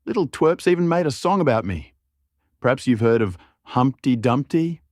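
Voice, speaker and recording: A man's voice: medium pitch, expressive, moderate pace, a little mellow, no room sound, clean studio sound, voice pushed up, close to an everyday voice. A man voicing a bitter, mocking porcelain guardsman, a murderous villain with an aggrieved, taunting way of talking in an audiobook story.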